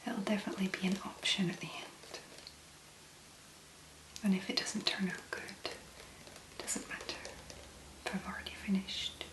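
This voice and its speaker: A woman speaking softly and breathily in an ASMR make-up tutorial, gently musing in a hesitant, unhurried way. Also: mid-range voice, little pitch movement, echoey room, low-quality recording. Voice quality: soft, breathy, mostly whispered. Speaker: ASMR content creator, make-up demonstrator.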